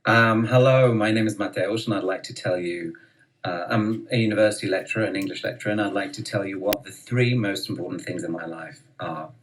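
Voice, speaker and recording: A man with a low, deep voice speaking politely and articulately, like a university lecturer. He lays out his points in an orderly way and closes with courtesy. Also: fairly quick, low-quality recording. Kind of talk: short personal statement.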